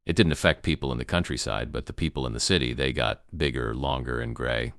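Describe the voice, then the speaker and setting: Man performing a grave doomsday newscaster, rock-bottom pitch, creaky, halting, ominous, amateur broadcast drama. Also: fairly bright tone, no echo, studio quality, full character voice. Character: grave male emergency news broadcaster warning of apocalypse. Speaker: news anchor. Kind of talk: amateur emergency broadcast narration.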